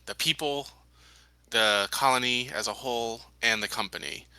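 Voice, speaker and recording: Guy doing a corporate company man for an rpg, really crisp bright voice, formal n careful, smooth talker. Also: medium pitch, dry recording. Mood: measured, assuring. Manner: measured, formal, diplomatic. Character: smooth, evasive male company man reassuring colonists. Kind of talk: in-character tabletop roleplay.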